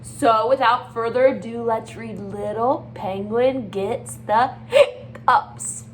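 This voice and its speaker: A woman's voice: fairly high pitch, takes it slowly, slight background noise. A woman teacher talking to young children in an encouraging, simple, child-directed way, as for a read-aloud.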